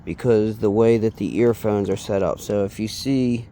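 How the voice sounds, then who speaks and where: A man giving a casual, unscripted hands-on tutorial, speaking haltingly and trailing off as he works with his hands. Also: lowish voice, fairly even intonation, warm tone, a little hiss or hum. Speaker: DIY installation demonstrator.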